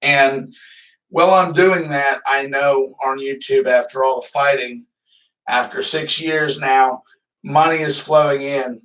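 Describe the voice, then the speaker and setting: A man's voice: medium pitch, steady tone, measured pace, fairly bright tone, recorded from a distance, low-quality recording. Rambling man hosting a casual stream. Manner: rambling, loose, swears casually. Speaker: live stream host. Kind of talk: casual livestream chat.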